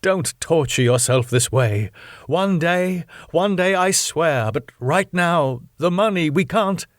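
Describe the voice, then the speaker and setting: A man's voice: on the high side, animated, slow pace, no echo, studio quality, pitched above the performer's own voice. Man acting a melodramatic, world-weary ballroom dancer. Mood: anguished, romantic. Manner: dramatic, theatrical.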